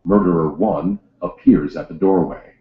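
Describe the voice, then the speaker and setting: A man's voice: low-pitched, moderate pace. A man reading scripted stage directions as the narrator of a play. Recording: roomy echo, slightly dull sound.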